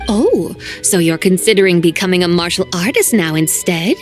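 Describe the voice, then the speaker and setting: A woman voicing a calm, aloof woman who speaks in a composed, archly formal way and calls others little one. It is game dialogue. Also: fairly low pitch, normal speed, faint music behind, natural voice. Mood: teasing. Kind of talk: game voice acting.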